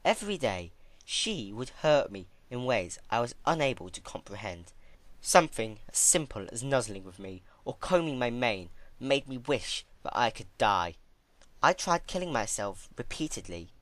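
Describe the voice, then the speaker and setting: A man's voice: medium pitch, very animated, fairly bright tone, dry recording. A man voicing an ancient, unhinged female pony princess who recalls her torments in a theatrical, chatty way, in a dramatic story reading. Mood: bitterly chatty. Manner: theatrical, chatty about horrors, with drawn-out emphatic words. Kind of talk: dramatic fan fiction reading.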